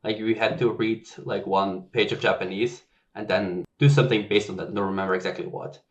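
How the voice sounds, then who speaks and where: A man from Belgium, a software engineer guest on a podcast, telling stories in a relaxed, chatty way with lots of "like.". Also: fairly low pitch, fairly quick. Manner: relaxed, chatty, heavy use of "like". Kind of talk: casual conversational interview.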